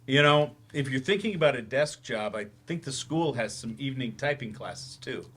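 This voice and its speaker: A man playing an older remedial English teacher in a staged play reading, gentle, reassuring and gently self-deprecating as he explains things. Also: mid-range voice, expressive, normal speed, slightly rough. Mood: earnest, supportive.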